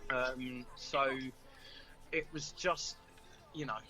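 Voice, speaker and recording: Bright-voiced man over music. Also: mid-range voice, fairly even intonation, drawn-out words, low-quality recording. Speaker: band member being interviewed. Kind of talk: casual chat. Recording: music playing behind. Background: background music.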